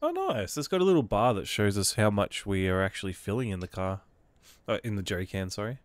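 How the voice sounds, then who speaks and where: A man with a slightly low voice talks through his gameplay in an easygoing commentary style. He has a cold that colors his voice. He reads on-screen instructions aloud and thinks out loud as he goes. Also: very animated, brisk, no room sound. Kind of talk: casual let's-play commentary.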